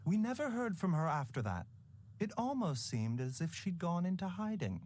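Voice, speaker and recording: An actor playing a calm, enigmatic young male doctor in a video game, speaking in a measured, unhurried way with a sly, teasing edge. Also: high voice, very noisy. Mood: reflective.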